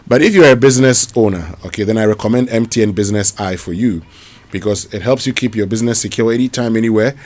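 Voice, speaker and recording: A man with a Ghanaian English accent hosting a live morning radio show, reading sponsor ads briskly and then listener questions with fillers. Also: lowish voice, a little mellow. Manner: brisk promotional delivery with fillers.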